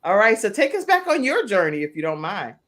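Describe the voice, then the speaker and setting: A woman's voice: mid-range voice, sing-song, brisk. A woman hosting her own talk show, chatty and emphatic, welcoming guests and viewers. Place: virtual studio.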